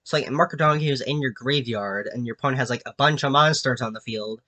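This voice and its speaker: Nasal sick young man, bright voice. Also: mid-range voice, fast talker, dry recording. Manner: filler-heavy, many restarts and "like"s. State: sick with a cold. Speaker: YouTube creator presenting his own card designs. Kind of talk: casual rambling explanation.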